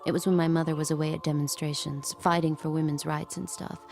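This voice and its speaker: An actress playing a watchful handmaid, narrating her captive life in a measured, intimate way for an audio drama. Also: sits low, flat delivery, creaky, a little mellow, faint music behind. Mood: wry recollection.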